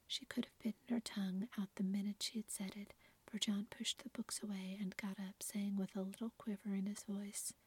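A woman narrating a story entirely in a soft, unvoiced whisper, close to the mic in a quiet room. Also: medium pitch, breathy edge. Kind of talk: whispered ASMR story reading.

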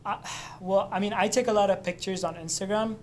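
A man's voice: sits high, fairly even intonation, brisk, a little hiss or hum. A young man from Lebanon chatting casually, telling stories in a loose, profane way. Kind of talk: casual chat.

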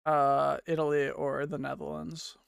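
A young man chats and tells stories on a podcast, often breaking into laughter. His voice sits a little high. The sound is dry and close, with no room around it. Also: little pitch movement, unhurried, creaky, smooth, dry recording. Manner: chatty, laughing storyteller. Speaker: podcast co-host. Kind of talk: casual chat.

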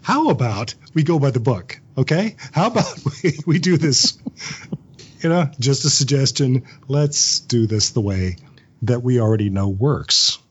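Male interview guest, writer type, husky and reflective, conversational delivery, slightly dull recording. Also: mid-range voice, animated, unhurried. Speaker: interview guest, audio drama writer and voice actor.

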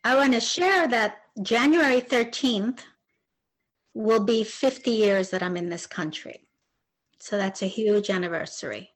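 A woman's voice: a little high, measured pace, poor audio. A woman in her sixties from Argentina, a native Spanish speaker, telling her story in an interview as a retired translator.

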